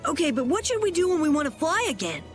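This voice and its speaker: A woman's voice: high voice, big pitch swings, fast, soft background music. A woman voicing an earnest, anxious young boy adventurer in an anime-style video game. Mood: curious.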